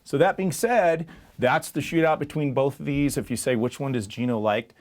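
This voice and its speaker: A man's voice: medium pitch, moderate pace, darkish tone. A man presenting for a music store, comparing products in a casual, plain-spoken, conversational way as he explains things to the viewer.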